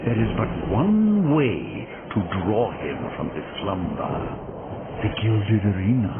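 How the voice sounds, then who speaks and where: Game role: pompous undead courtier, sly and sardonic, slightly low-voiced, tinny muffled recording. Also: male voice, big pitch swings, measured pace, heavy background noise. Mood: haughty. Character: haughty, sly undead chancellor of a bone king. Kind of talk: video game cutscene acting.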